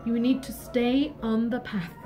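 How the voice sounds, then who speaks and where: A woman's voice: high voice, lively intonation, moderate pace, faint music behind. A woman voicing a caring but firm mother laying down rules in a storybook tale. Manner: firm, instructive.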